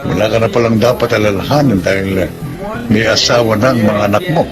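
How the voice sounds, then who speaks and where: A man with a Filipino accent playing a businesslike, obliging resort owner in a radio drama, measured and authoritative. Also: on the low side, over music, natural voice.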